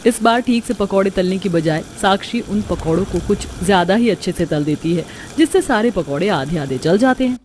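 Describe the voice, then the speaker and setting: A woman's voice: mid-range voice, lively intonation, fairly quick, few pauses, darkish tone, a little hiss or hum. A woman reading scripted narration for a children's cartoon story.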